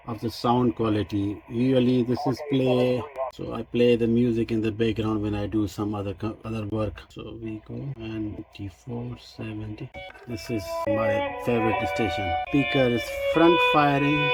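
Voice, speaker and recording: A man casually demonstrating a product unscripted, explaining technical details in a halting way. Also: on the low side, gravelly, poor audio. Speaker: radio reviewer and demonstrator.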